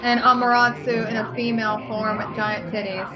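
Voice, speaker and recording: A woman's voice: a little high, level tone, fairly quick. A woman co-hosting a let's play, chatting casually in a blunt, opinionated way and swearing freely. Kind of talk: casual gaming commentary. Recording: dull lo-fi mic, loud music behind. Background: game music.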